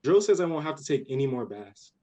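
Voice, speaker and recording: A man's voice: on the high side, big pitch swings, quick pace, warm tone. A young man playing an eager, bossy, imaginative little girl in a radio play, with earnest impatience. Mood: earnest, excited. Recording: dull lo-fi audio.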